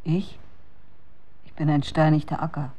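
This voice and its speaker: An outcast woman of ill repute in a radio drama. Her voice is low, dark and slow, sinking into a breathy half-whisper. She speaks archaic, poetic words with bitterness and tenderness. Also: little pitch movement. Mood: quietly bitter. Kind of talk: German radio drama acting.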